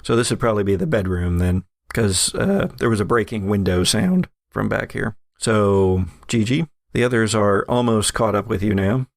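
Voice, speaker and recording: Gravelly-voiced male game master, dry close sound. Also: on the low side, lively intonation, moderate pace, few pauses, no room sound. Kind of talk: narrating a tabletop horror game, conversational.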